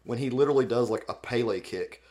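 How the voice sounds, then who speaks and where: A man's voice: mid-range voice, fast talker, a little mellow. A man co-hosting a podcast in casual, comedic fan chat, joking in a wry, offhand way. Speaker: podcast co-host.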